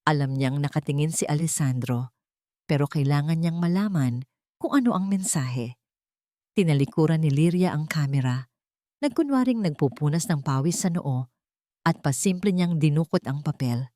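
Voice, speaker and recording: A woman's voice: sits low, lively intonation, moderate pace, dark tone, no echo, clean studio sound. A woman narrating a dramatic scripted love story as an audiobook.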